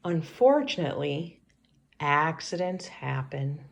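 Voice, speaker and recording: Lady reading a kids storybook out loud, slow pace, bit of creak in her voice. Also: low voice, very animated. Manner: pauses to ask children questions. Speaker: teacher reading a picture book aloud to children. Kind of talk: expressive storybook read-aloud.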